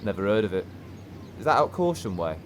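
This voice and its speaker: Young countryside man, animated delivery, deep-ish voice, wistful, blunt, audio drama, noisy location sound. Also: fairly quick. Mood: wistful, dry. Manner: blunt, dry, informal. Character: teasing, wistful young countryside man who stayed home. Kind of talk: naturalistic audio play dialogue.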